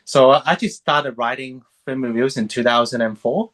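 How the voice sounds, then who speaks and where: Man in his thirties, film critic guest, mid-pitched voice, easygoing and rambling, full of fillers. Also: fairly quick. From Hong Kong, raised in Sydney. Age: 30s. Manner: relaxed, rambling, many fillers and restarts. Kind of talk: casual interview chat.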